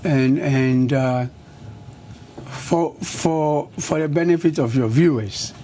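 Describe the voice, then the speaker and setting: Middle-aged Ghanaian man, an interview guest with a mellow tone, recorded with a little background noise. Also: on the high side, takes it slowly. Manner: switches between English and Ga, lists places at an even pace. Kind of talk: conversational interview.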